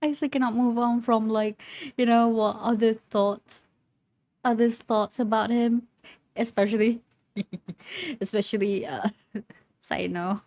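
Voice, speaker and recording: High-voiced, unhurried woman reacting casually. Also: fairly even intonation, darkish tone, no room sound. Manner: halting, repeats words and phrases. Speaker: streamer reacting to game trailers. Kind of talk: casual live reaction commentary.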